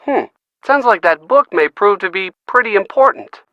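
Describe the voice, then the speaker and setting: An actor playing a wisecracking, upbeat young friend in a video game, with an American accent and a playful, wry, chatty delivery. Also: male voice, sits high, lively intonation, moderate pace, no room sound, lo-fi sound. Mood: playful, intrigued. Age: young adult. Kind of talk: video game dialogue acting, conversational phone chat.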